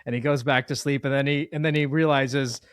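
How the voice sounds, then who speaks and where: A man's voice: medium pitch, steady tone, brisk, clear, resonant tone, no echo, studio quality. A man hosting a casual interview, talking in a rambling, jokey way and often repeating his words. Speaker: interview host.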